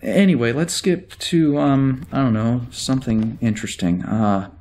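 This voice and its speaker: A man playing a wry, easily exasperated security officer in a sci-fi audio drama, talking in a halting, conversational way. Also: mid-range voice, darkish tone, slight background noise, lightly acted. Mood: distracted.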